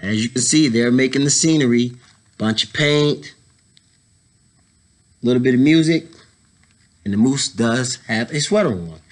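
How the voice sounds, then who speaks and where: Slow-paced man reading a picture book aloud. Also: mid-range voice. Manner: unhurried, pausing, explaining to children. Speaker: story time reader, educator. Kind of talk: children's picture book read-aloud with casual asides. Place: indoors, school library.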